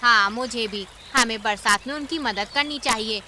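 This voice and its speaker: A woman's voice: a little high, normal speed, pushed and bright, a little hiss or hum. A woman voicing a kindly, sensible aunt bird in a cartoon story. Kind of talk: Hindi animated cartoon story acting.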